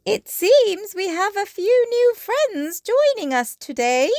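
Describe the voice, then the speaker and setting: A woman's voice: very high-pitched, lively intonation, takes it slowly, darkish tone, no room sound, clean studio sound, pitched above the performer's own voice, exaggerated voice. A woman voicing a welcoming lady gazelle playgroup teacher who talks in an enthusiastic sing-song to small children in a storybook. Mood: bright, welcoming. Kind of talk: children's storybook read-aloud.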